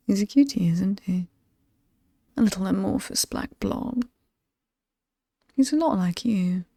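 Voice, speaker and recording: Casting: actress as alluring, menacing British demoness for horror ASMR. Breathy half-whisper, dark and creaky, teasingly ominous. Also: female voice, mid-range voice, no echo, clean studio sound. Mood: playful, doting. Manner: seductive, teasing, theatrically ominous, lingering on words. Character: alluring, sinister ageless demon woman showing off haunted mansion.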